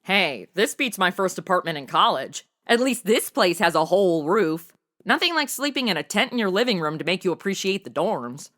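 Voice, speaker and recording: A woman's voice: fairly low pitch, very animated, normal speed, a bit bright. An actress playing a brash, irreverent modern young woman in a comedic audio drama, sarcastic and chatty. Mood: snarky.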